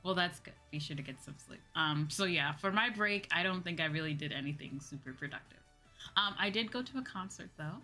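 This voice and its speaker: A woman's voice: fairly low pitch, lively intonation, fairly quick, bright, forceful tone. A woman hosting a livestream as a VTuber, talking casually in a chatty, giggly way. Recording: background music behind voice. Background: music.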